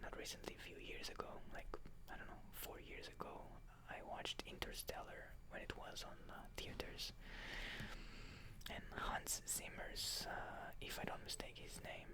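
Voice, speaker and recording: Soft ASMR whisper. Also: moderate pace. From Israel. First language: Hebrew. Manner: soft unvoiced whisper, meandering with many fillers. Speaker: ASMR creator.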